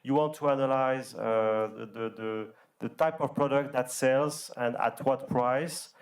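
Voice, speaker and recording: Male executive for a round-table talk, mid-pitched, emphatic and repetitive. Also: fairly even intonation, measured pace. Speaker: company executive on a panel.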